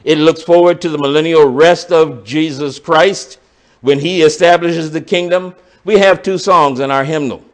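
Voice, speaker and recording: A man's voice: fairly high pitch, moderate pace. A pastor explaining scripture verse by verse to his congregation in a sermon, talking directly to the listeners. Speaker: pastor teaching a Bible study. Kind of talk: sermon-style Bible teaching.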